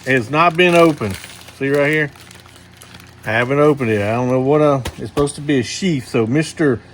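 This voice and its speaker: A man's voice: on the high side, slight background noise. A man, hosting a casual knife video and rambling to his viewers with lots of fillers and restarts. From Missouri, USA. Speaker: knife channel host.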